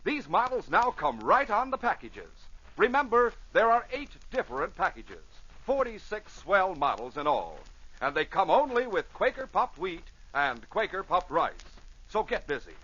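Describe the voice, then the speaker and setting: A man's voice: sits high, very animated, normal speed, bright, forceful tone, breathy edge. A man announcing in scripted vintage radio style, with emphatic, punchy salesmanship. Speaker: announcer and narrator. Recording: dull vintage radio recording.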